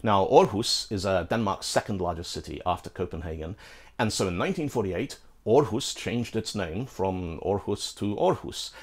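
A middle-aged British man hosting a scripted programming lesson, lively and playful, with asides to the viewer, filmed in a studio. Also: on the low side, normal speed. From Britain. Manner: animated, gesturing, playful asides. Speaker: programming video host. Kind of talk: scripted tech explainer. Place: studio in front of a green screen.

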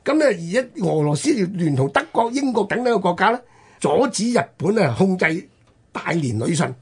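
A man with a Hong Kong Cantonese accent and a husky voice, telling history conversationally as a radio host, emphatically drawing out the ends of his phrases. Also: sits high, big pitch swings. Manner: emphatic storyteller with drawn-out phrase-ending particles.

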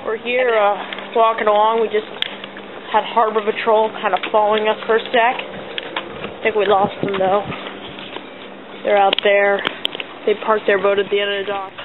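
A man's voice: high voice, completely flat delivery, normal speed, pauses often. A teenage boy with an American accent giving casual fishing commentary outdoors on harbor docks into a handheld camera. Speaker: fishing vlogger. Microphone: handheld camera microphone. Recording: tinny phone-like audio, loud outdoor noise.

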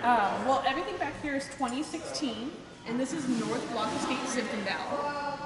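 A woman's voice: high voice, moderate pace. A woman guiding visitors through a winery, informative and chatty. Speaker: winery guide. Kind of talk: guided tour talk. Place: large winery cellar, indoors. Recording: roomy hall from far off with a crowd.

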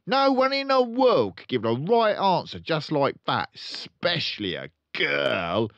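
A man's voice: on the high side, sing-song, measured pace, vocal fry, fairly bright tone, dry recording, lo-fi sound, voice pushed up, full character voice. A man voicing a boastful, bullying, hot-tempered father in a storybook, blustering and pompous. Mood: angry, shouting. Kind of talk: storybook read-aloud character voice.

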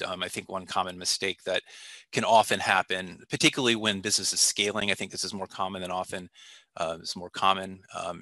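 A man's voice: low-pitched, pushed and bright, no room sound. A man in his thirties answering interview questions over a video call on a headset mic, thoughtful and full of fillers and restarts. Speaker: warehouse technical product manager. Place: small room indoors.

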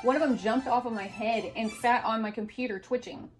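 A woman in her forties telling stories in an animated, casual chat with a friend, peppered with "like". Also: fairly high pitch, brisk, over music. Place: small living room, indoors. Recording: clearly noisy room ambience.

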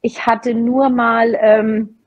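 A woman's voice: on the high side, little pitch movement, drawn-out words, darkish tone, highs cut off. A middle-aged woman chatting casually as an interview guest on a video call from a room at home. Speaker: podcast guest, teacher. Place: at a table in a room at home, indoors.

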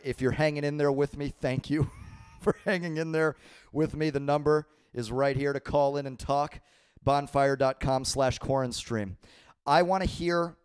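A man in his thirties hosting a live call-in stream from a small home studio, talking loosely with lots of swearing while his voice is going hoarse. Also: medium pitch, no echo. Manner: loose, profane, laughing. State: losing his voice. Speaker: livestream host. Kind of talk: casual live call-in chat. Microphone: handheld microphone.

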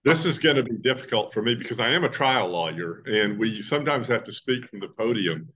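A man in his sixties, a trial lawyer, giving a relaxed talk to a meeting in a loud voice. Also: mid-range voice, a little fast, dull audio. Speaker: guest speaker, trial lawyer. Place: indoor meeting room. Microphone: webcam from a distance.

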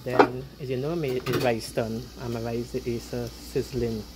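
A woman's voice: rumbling low, fairly even intonation, measured pace. Chatty Guyanese woman cooking at home. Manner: chatty, talks to viewers while cooking, repeats words. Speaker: cooking vlogger. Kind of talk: casual cooking vlog chat. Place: home kitchen. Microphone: handheld phone camera. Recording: noisy phone recording with frying sizzle.

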